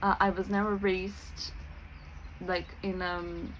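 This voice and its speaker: A woman's voice: medium pitch, little pitch movement, slow, rough recording. Serbian accent woman vlogging, casual and chatty, bit of faint hiss behind. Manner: chatty, many fillers, laughs often. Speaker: spiritual coach and YouTuber. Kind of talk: casual talk to camera.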